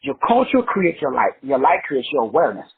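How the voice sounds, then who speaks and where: Middle-aged man, motivational teacher: high, lively pitch, preachy and profane, muffled lo-fi sound. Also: a little fast, husky. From South Texas. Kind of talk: motivational class lecture.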